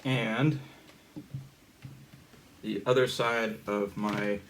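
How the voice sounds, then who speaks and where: A man giving a casual hands-on gadget demonstration in a halting, informal, step-by-step way. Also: fairly low pitch. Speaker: hobbyist demonstrator. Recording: steady background noise.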